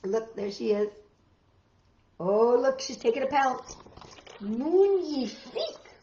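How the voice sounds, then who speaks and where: A woman's voice: fairly high pitch, very animated, takes it slowly, warm tone, highs cut off. A woman reading a picture book aloud to children with dramatic emphasis and chatty asides to her listeners. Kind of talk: expressive children's read-aloud. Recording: roomy, echoey room sound.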